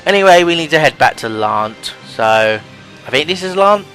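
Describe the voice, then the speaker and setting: A man's voice: on the high side, animated, normal speed, fairly bright tone, low-quality recording. A young man giving casual, rambling and hesitant let's play commentary over game music. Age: young adult.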